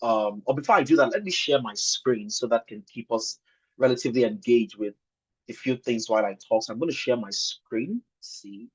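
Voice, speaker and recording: Husky Nigerian man, muffled call audio. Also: medium pitch, expressive, moderate pace. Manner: conversational, repeats and rephrases for emphasis. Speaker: webinar speaker. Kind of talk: informal presentation telling a personal story. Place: online video call with screen sharing.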